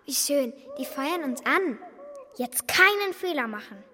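Sehr helles, mutiges Schulmädchen. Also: female voice, high-pitched, a little slow, heavily acted. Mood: eager, earnest. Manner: excited, earnest. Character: plucky, eager schoolgirl facing a scary test. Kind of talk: children's radio play acting. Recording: long hall reverb, faint music.

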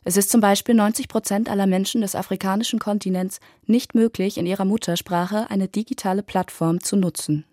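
A female reporter narrating a scripted radio feature in an even, clear reading. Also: mid-range voice, a little fast, hardly any pauses, dry recording, studio quality.

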